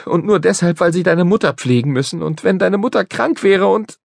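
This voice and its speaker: A man's voice: sits high, expressive, brisk, vocal fry, a little mellow, no room sound, clean studio sound, pitched above the performer's own voice, full character voice. A man voicing an unhappy young country boy in a storybook reading, talking earnestly and near tears. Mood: upset. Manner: earnest, upset and tearful. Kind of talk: storybook character voice.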